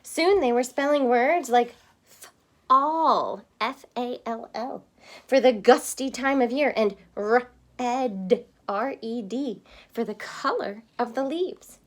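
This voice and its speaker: A woman reading a picture book aloud to children, expressive and stopping to explain words. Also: on the high side, slow pace. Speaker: storybook reader.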